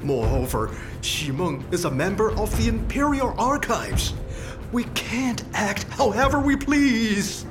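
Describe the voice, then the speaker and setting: A learned, dignified mentor in a radio drama. He speaks slowly and deliberately, with wide rises and falls of pitch, over clear background music. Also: animated. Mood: respectful pleading. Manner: measured, deliberate. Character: dignified, learned male master painter, protective mentor.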